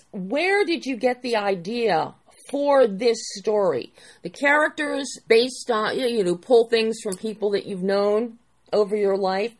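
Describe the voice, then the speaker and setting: Woman interviewer, unhurried pace, measured and appreciative questions. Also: mid-range voice.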